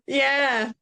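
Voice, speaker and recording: A woman's voice: high-pitched, pushed and bright. A woman co-hosting a book club podcast in a casual, conversational style.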